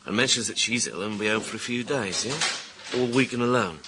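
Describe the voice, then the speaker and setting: An actor playing a cynical, grumbling junior British police detective in a radio thriller, talking bluntly and colloquially. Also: male voice, on the low side, a little fast, background noise, lightly acted. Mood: cynical, sceptical. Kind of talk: naturalistic radio thriller acting.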